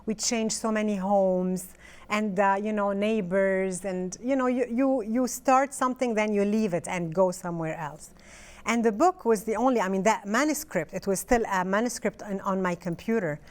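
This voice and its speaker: Soft-spoken middle-aged woman from Lebanon. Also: medium pitch, normal speed. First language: French. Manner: hesitant, restarts often, fillers. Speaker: author, interview guest. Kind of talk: casual interview chat.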